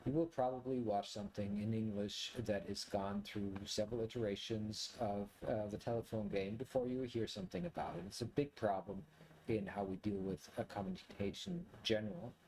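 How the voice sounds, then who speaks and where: A man from Germany, a native German speaker, giving a casual, reflective monologue to viewers in a rambling, halting way as he thinks aloud. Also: on the low side, darkish tone, slight background noise, rough recording. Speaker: video creator, commentator.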